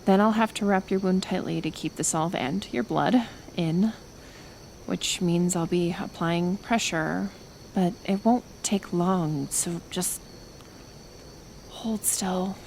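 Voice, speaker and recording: A woman acting a kind but stubborn reclusive healer in an ASMR roleplay, gentle yet firm, unhurried, with sighs and pauses. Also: fairly low pitch, gravelly, a little hiss or hum. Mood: calm, firm.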